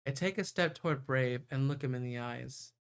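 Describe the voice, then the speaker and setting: A man's voice: medium pitch, normal speed, clear, resonant tone. A man livestreaming a visual novel, reading the narration aloud in a playful way and sometimes stumbling or breaking into chatty asides.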